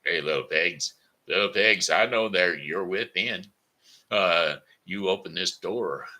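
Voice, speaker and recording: A man's voice: sits low, a little slow, pushed and bright, full character voice. A man voicing a hungry, sly big bad wolf in a storybook tale with a drawling, coaxing delivery.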